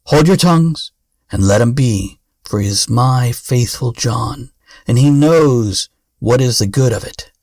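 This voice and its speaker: A man's voice: mid-range voice, unhurried, a little mellow, no room sound, clean studio sound, lightly acted. A man voicing a passionate, loyal young king in a fairy tale, speaking with emotional exclamations. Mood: firm, protective.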